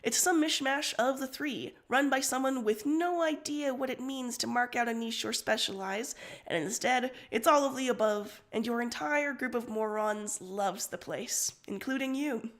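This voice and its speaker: Woman, fairly high voice, expressive informal narrator, live story reading. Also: normal speed, hardly any pauses. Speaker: story reader on a livestream. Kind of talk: live reading of fan fiction.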